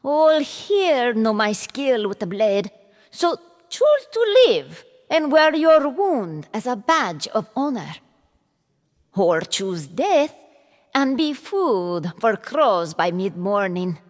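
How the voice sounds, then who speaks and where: A woman's voice: fairly high pitch, sing-song, slow. A woman playing a proud, cold noblewoman duelist in a fantasy audio drama, with a formal, imperious delivery. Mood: cold warning. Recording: long hall reverb over music.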